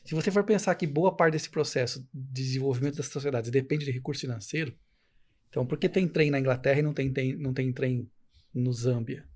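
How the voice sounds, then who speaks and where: A man's voice: mid-range voice, fast, darkish tone. A man with an accent from the interior of São Paulo state, explaining things emphatically in a casual podcast discussion. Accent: interior São Paulo Brazilian. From interior of São Paulo state, Brazil. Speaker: podcast guest, educational materials producer.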